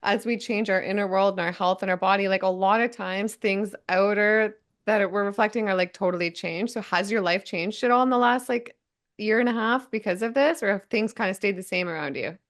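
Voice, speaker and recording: A woman in her forties hosting a casual interview, enthusiastic and affirming, often ending remarks with a quick "right?". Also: medium pitch. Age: 40s. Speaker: host.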